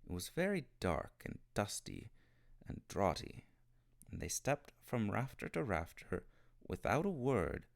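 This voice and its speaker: Man, low gravelly voice, very lively intonation, children's audiobook narration, dry close sound. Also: unhurried. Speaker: storybook reader. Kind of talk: expressive narration of a children's novel.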